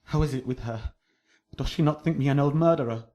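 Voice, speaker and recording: A man's voice: medium pitch, fairly quick, warm tone, natural voice. An actor playing Romeo as a desperate, lovesick young man in a Shakespearean radio drama, theatrical and impassioned. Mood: frantic.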